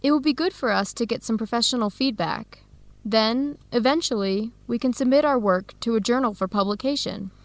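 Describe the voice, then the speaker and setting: A woman acting as a friendly young American researcher in a radio drama. Her voice is fairly high and recorded very dry, and she explains things clearly and encouragingly. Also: moderate pace, no echo, lightly acted. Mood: explaining, encouraging. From United States. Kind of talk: scripted educational radio drama dialogue.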